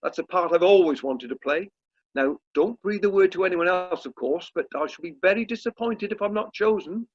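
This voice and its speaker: A man's voice: high voice, fairly quick, husky. A man acting a fussy, self-important British drama society chairman who stammers a little, in an amateur radio play over a video call. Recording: muffled lo-fi video call audio.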